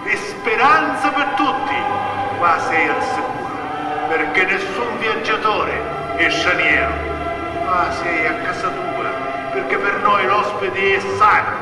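A man's voice: high-pitched, animated, slow. A man playing a host who soothes a newcomer in a theatrical, half-sung declamation. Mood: soothing, solemn. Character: welcoming host soothing a newly arrived migrant. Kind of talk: theatrical monologue over music. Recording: echoey hall, distant, loud music. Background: loud music.

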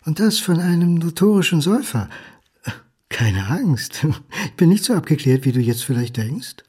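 A man's voice: on the high side, big pitch swings, normal speed, warm tone. An actor playing a lonely, hard-drinking son who speaks to his father in a sardonic, dramatic monologue. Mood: mocking, reflective.

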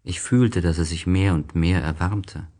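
Low-voiced man narrating a literary tale. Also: unhurried, dark tone.

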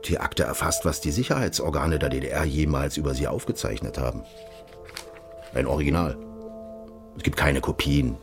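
An actor playing a courteous, insistent East German official in a radio drama, with a German accent and insinuating manner. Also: male voice, rumbling low, music in the background. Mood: quietly menacing. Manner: courteous, insistent, deliberate phrasing with repeated direct address. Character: smooth, insinuating East German official offering a sinister bargain.

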